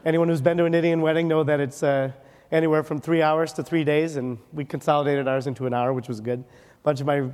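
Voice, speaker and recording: A man's voice: fairly high pitch, fairly even intonation, darkish tone. Man lecturing in a reverberant hall. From Wisconsin. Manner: joking, rapid, ends points with "okay?". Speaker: dermatologist lecturer. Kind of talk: conference medical lecture. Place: conference hall.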